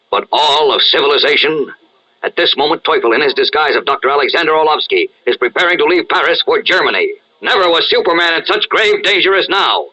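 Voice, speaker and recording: A man's voice: mid-range voice, pushed and bright, breathy edge. A man narrating a radio adventure serial in a scripted, dramatic style. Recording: dull, band-limited radio-style recording.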